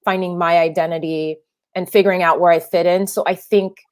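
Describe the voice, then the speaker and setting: A woman's voice: fairly low pitch, steady tone, brisk, a little mellow. A young adult woman filmmaker whose first language is French, answering interview questions thoughtfully, with many restarts and fillers. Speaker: filmmaker guest.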